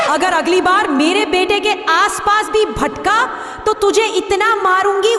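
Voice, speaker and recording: A woman's voice: very high-pitched, a bit bright. A woman voicing a fiercely protective, emotional animal mother in a jungle cartoon story. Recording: echoey reverb, distant from mic.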